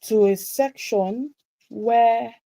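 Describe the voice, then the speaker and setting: Woman, visibility coach, emphatic and repetitive delivery, mellow tone, dry close sound. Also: a little high, drawn-out words, creaky, no room sound, rough recording. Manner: emphatic and repetitive, stressing key words. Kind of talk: motivational teaching session.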